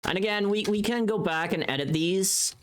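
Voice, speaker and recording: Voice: medium pitch, moderate pace, slight background noise. A livestreamer chatting casually and thinking aloud, playful and rambling, with some unfiltered swearing. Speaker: livestreamer and voice pack creator.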